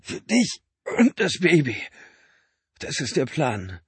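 A man's voice: on the high side, wildly swooping pitch, slow pace, slightly rough, dry recording, voice pushed up, heavily acted. A man voicing an exhausted adult man who pleads urgently and breathlessly with his brother. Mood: urgent pleading.

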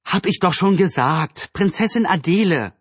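A man voicing an excitable, earnest young girl in a children's storybook. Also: a little high, a little fast, husky, no room sound, voice pushed up. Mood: indignant. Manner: enthusiastic, earnest, indignant when doubted. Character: eager, excitable young girl, little sister.

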